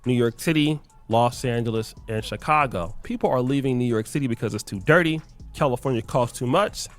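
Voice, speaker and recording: A man narrating a scripted commentary video in a lively, emphatic explaining manner. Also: mid-range voice, brisk, faint music behind.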